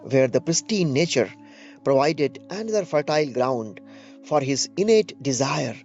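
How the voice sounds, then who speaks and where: A man giving scripted documentary narration in a measured, descriptive way. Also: medium pitch, animated, a little mellow. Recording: dull roomy voice-over over music.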